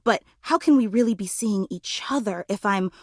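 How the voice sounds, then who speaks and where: A woman's voice: mid-range voice, moderate pace, no room sound, clean studio sound, lightly acted. A woman playing a clingy, overemotional superfan in a video game, with a melodramatic, effusive, fawning manner. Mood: clingy, apologetic. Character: gushing, clingy, overemotional female superfan, obsessively devoted. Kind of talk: video game character voice acting.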